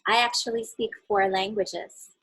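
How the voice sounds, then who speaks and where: A woman's voice: medium pitch, steady tone, unhurried, a bit bright, husky, low-quality recording. A woman reading aloud as a friendly, encouraging waitress in a children's story.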